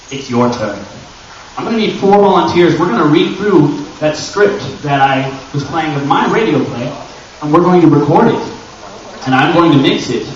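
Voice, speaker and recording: A distant, muffled recording in a noisy, reverberant room carries a man presenting at a convention panel. He talks informally and directly to the crowd in a chatty, joking way, like a host running the session. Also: on the high side. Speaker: panel presenter and audio drama creator. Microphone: distant camera microphone.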